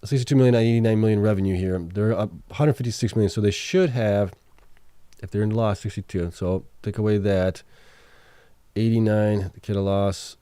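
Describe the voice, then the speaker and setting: Low, mellow man thinking aloud. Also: normal speed, smooth, dry recording. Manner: thinks aloud, many fillers and self-corrections; reads out viewer chat questions. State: starting to lose his voice. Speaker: livestream host. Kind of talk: casual livestream chat reading financial results.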